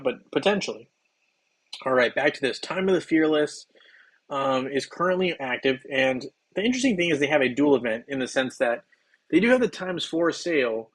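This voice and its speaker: A slightly hoarse man hosting a game livestream, chatting loosely with viewers while he plays, with plenty of ums and restarts. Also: mid-range voice. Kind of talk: casual livestream chat while playing.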